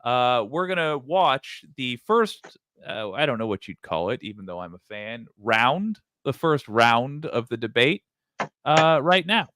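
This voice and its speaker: A man's voice: medium pitch, very animated, unhurried, bright, forceful tone, no echo. A man hosting a podcast, casual and offhand, introducing a debate clip with jokey asides. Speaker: podcast host. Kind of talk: casual chat reacting to a clip.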